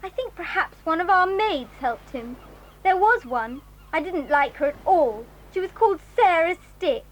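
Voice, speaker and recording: A woman's voice: very high voice, lively intonation, moderate pace, poor audio. A woman with a British accent playing a frightened young girl who speaks timidly and earnestly.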